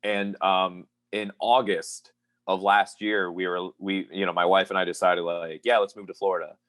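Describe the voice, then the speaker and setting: A man's voice: sits low, flat delivery, normal speed, fairly bright tone, no echo. A man from Pennsylvania, a professional wrestler, talking as a guest in a casual interview and telling rambling stories in an easygoing way. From Pennsylvania, USA.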